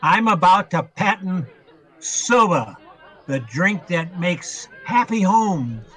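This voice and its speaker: A man's voice: high voice, big pitch swings, slow, fairly bright tone, heavily acted. A man playing a tipsy, henpecked husband in a radio comedy, wheedling and placating with flowery self-importance. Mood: pompous, wheedling. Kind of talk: old-time radio comedy acting.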